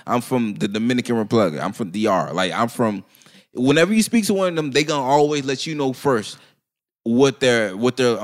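A young man co-hosting a casual chat podcast, joking loudly and laughing often. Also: medium pitch. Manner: loud laughing, joking, profane.

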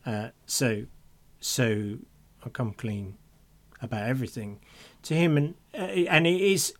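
A man's voice: medium pitch, a little slow. A man co-hosting a reflective conversation about faith, speaking earnestly and repeating phrases for emphasis. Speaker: podcast co-host.

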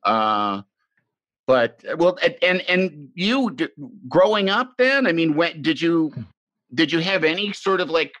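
A man's voice: a little high, big pitch swings, a bit bright, no room sound. Rambling, reflective middle-aged man. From Illinois, US Midwest. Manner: rambling, halting, frequent restarts, swears. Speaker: podcast host. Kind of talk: casual, reflective conversation. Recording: slightly muffled dry recording.

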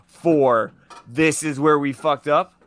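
A man's voice: on the high side, steady tone, slow. A man co-hosting a podcast, joking around in casual banter. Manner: joking, laid-back, swearing. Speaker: podcast co-host.

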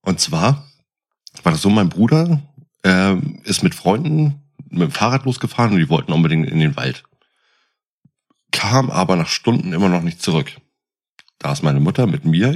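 A man casually telling personal anecdotes in an animated storytelling manner. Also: sits low, breathy edge.

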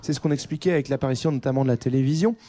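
Mellow-voiced man analyzing society. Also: medium pitch. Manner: measured analytical explaining with hesitations. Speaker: podcast co-host. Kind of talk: casual history discussion.

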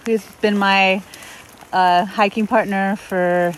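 A woman thru-hiker recording a reflective video diary outdoors, talking in a halting way with many fillers and restarts. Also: mid-range voice, steady tone, slight background noise. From Los Angeles, USA. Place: outdoors on a long-distance trail.

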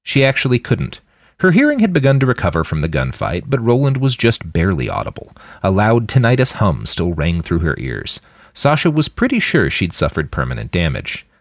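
A man with a very low voice narrates fiction in a dramatic audiobook style. His intonation swings widely, and the recording is close and dry. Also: a little fast, dry recording. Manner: dramatic, expressive storytelling. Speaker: fiction podcast narrator and author.

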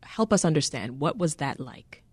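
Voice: mid-range voice, expressive, moderate pace, breathy edge, no echo. Attentive interview host, dry studio sound. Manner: attentive, encouraging. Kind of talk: radio interview.

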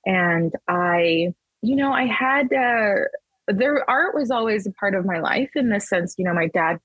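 A woman's voice: medium pitch, lively intonation, unhurried, slightly rough, dry recording, dull audio. A woman in her thirties, raised in Las Vegas, talking casually as an interview guest, wandering through her thoughts with restarts. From Texas, raised in Las Vegas. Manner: rambling, with many restarts and fillers. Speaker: guest artist.

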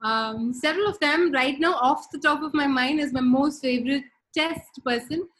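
A woman's voice: high-pitched, normal speed, a bit bright, rough recording. A middle-aged woman from India with an Indian accent, speaking casually as a podcast guest on a remote call, thoughtful with many fillers. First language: Tamil. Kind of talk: casual interview talk.

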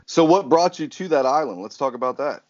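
A man's voice: fairly high pitch, expressive, a little fast, darkish tone. A man hosting a podcast interview, easygoing and teasing, with a lot of "uh". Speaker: podcast host. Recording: slightly muffled audio.

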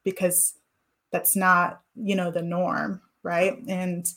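A woman's voice: fairly low pitch, monotone, takes it slowly, fairly bright tone. A woman in her thirties, a guest in an interview, talking thoughtfully about her past with many fillers. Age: 30s. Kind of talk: reflective interview talk.

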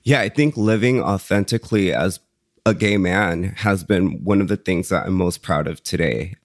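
Deep-voiced soft-spoken male guest. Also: moderate pace, no echo, clean studio sound. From Mexico. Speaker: interview guest, mental health advocate. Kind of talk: reflective interview answers.

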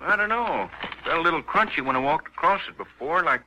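An actor playing a helpful, plain-spoken sheriff's deputy in a 1950s radio western, folksy and informal. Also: male voice, fairly high pitch, expressive, fairly quick, muffled recording, lightly acted. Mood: helpful, casual. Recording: noisy vintage 1950s radio recording.

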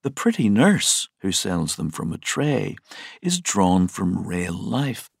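A man's voice: deep voice, sing-song, slow, vocal fry, husky, no room sound, studio quality. A man reading scripted documentary narration as the narrator of a music programme. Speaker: podcast narrator.

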